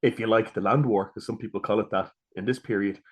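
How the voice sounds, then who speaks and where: A man's voice: lowish voice, steady tone, quick pace, slightly rough. An Irish-accented man hosting a history podcast, summing up points and putting questions to a guest conversationally. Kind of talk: conversational interviewing.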